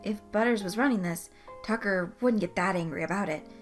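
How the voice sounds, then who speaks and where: A woman's voice: mid-range voice, fairly quick, faint music behind. A woman reading scripted fan fiction as its narrator.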